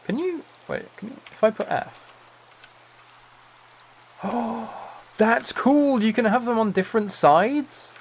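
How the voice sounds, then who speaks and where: Male streamer, creaky mid-pitched voice, soft-spoken relaxed gaming chat, faint rain-like noise behind. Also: slow pace, long pauses. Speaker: livestreamer. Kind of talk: relaxed chat while playing a building game.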